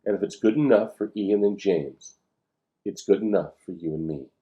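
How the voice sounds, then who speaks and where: A man's voice: low-pitched, normal speed, dark tone, lo-fi sound, close to an everyday voice. A man reading the storybook dialogue of a firm, logical, know-it-all schoolgirl spy in a matter-of-fact way. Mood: explanatory. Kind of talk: storybook read-aloud dialogue.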